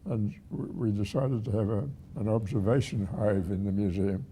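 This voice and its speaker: A man of about a hundred with a husky, aged voice, reminiscing haltingly as a retired museum director. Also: on the low side, mellow, slight background noise. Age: 100. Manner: halting, with repeated words and pauses.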